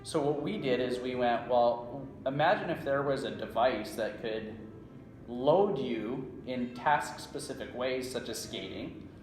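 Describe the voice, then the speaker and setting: A man's voice: medium pitch, measured pace, a little mellow, slight background noise. Canadian man explaining in an echoey room. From small-town Saskatchewan, Canada. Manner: checks in often with "right?". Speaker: strength coach, interview guest. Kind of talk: conversational explanation and storytelling. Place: indoor room at a conference. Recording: roomy echo, faint background ambience.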